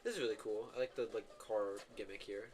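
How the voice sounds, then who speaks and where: A man's voice: on the low side, quick pace, darkish tone. A man streaming a video game, giving casual, rambling commentary full of filler words and self-corrections. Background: game music.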